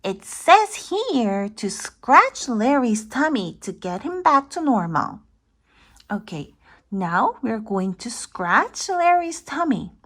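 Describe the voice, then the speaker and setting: A woman reading a picture book aloud to young children, playful and expressive, speaking directly to the child listening. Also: fairly high pitch, slow pace.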